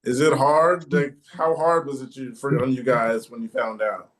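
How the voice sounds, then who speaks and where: A man's voice: mid-range voice, fairly even intonation, normal speed. Conversational man in his thirties. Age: 30s. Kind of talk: casual group chat.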